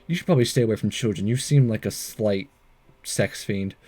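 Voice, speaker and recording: A man's voice: on the low side, a little fast, darkish tone, slightly rough. A man hosting a game playthrough, reading visual novel text aloud with jokey asides and the odd stumble.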